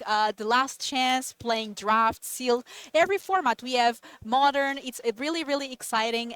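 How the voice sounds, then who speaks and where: A woman's voice: a little high, a bit bright, smooth, dry recording. A woman whose first language is Portuguese, co-hosting a live stream and chatting upbeat with viewers. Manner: upbeat, talks to chat. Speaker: event co-host. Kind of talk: casual live stream chat.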